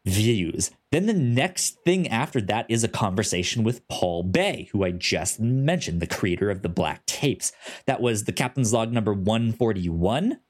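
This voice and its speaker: A man with a stutter hosting a casual podcast, halting with many ums and restarts. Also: on the low side, animated. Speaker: podcast host.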